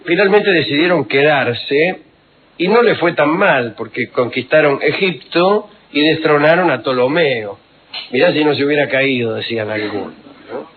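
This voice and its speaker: A man, telling historical anecdotes on the radio in an unhurried, wry, digressive way full of fillers. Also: a little high. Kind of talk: rambling storytelling on radio. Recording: tinny phone-like radio recording.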